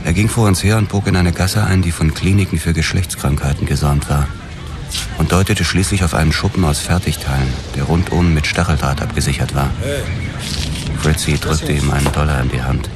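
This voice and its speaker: An actor playing a hard-boiled police detective who narrates a radio drama in a terse, grim, literary way. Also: male voice, very deep voice, fairly even intonation, fairly quick. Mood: matter-of-fact. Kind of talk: scripted first-person radio drama narration. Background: music.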